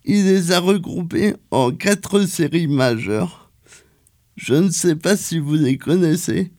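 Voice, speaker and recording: A man reading a cultural events column on local radio, slow and careful, with some hesitations. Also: a little high, lively intonation, a little mellow.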